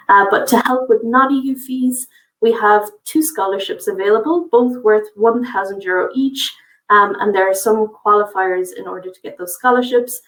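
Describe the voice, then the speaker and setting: Woman presenting on a roomy, distant call. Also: mid-range voice. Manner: friendly, informative, frequent uh and um fillers. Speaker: college international officer presenting. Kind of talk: webinar presentation.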